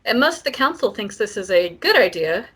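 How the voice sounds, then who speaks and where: A woman's voice: mid-range voice, sing-song, fairly quick, fairly bright tone, husky. A woman co-hosting a casual book discussion, talking in an informal, wry way. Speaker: podcast co-host.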